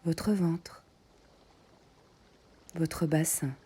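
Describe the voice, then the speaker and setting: A woman guiding a meditation in soft, breathy short phrases with long pauses, often close to a whisper. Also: deep voice, fairly even intonation, soft background music. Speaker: yoga nidra meditation guide. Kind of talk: guided meditation.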